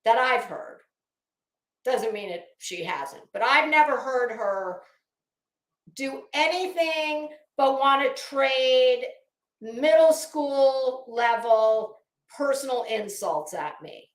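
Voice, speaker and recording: A woman with a high voice hosts a casual livestream, speaking at an unhurried pace with halting, emphatic repeats. She is blunt, mocking and freely profane as she talks to her viewers. The sound is noticeably roomy and echoey. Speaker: livestream host.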